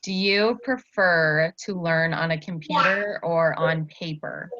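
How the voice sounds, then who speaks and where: A woman's voice: low-pitched, slow pace, crowd noise. A woman teaching a child one-on-one over a video call, chatting casually and encouragingly and echoing back answers. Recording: narrow-band video call sound, faint noise.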